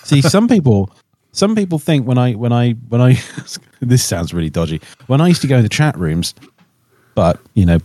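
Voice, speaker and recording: A man's voice: mid-range voice, very animated, normal speed, mellow, dry recording. A man co-hosting a podcast, chatting casually in a rambling, joking way. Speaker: podcast co-host, musician. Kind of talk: casual chat.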